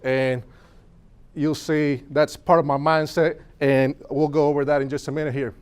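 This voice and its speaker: A man's voice: a little high, level tone, normal speed, clean tone. A young man from Puerto Rico with a Puerto Rican accent, giving an earnest motivational talk to a live audience and telling his story. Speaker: speaker at a business networking event.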